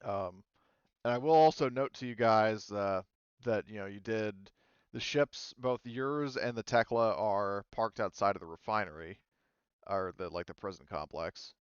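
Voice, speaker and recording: A man's voice: on the low side, measured pace, clear, resonant tone, no room sound, lo-fi sound. A man narrating as game master, rambling with many fillers and restarts. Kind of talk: narrating a tabletop game, casual.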